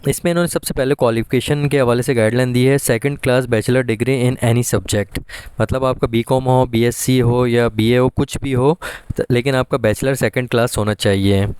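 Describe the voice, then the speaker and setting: A man with a Pakistani accent gives an informal, instructional voice-over in a clean, smooth voice. The sound is close and dry, and he works through each point methodically at a medium pitch. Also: steady tone, moderate pace, darkish tone, no room sound. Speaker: exam guidance presenter.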